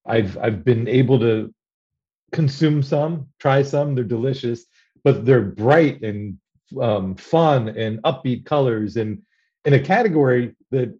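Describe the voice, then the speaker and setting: Male podcast host, warm mellow tone, easy conversational questions, lo-fi sound. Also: mid-range voice. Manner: conversational, asks with restarts. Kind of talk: interview hosting.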